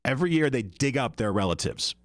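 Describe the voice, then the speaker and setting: A man's voice: medium pitch, very animated, a little fast, no room sound. A man co-hosting a casual radio talk show, chatty and speaking straight to the listeners. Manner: chatty, addresses listeners directly. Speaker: radio co-host.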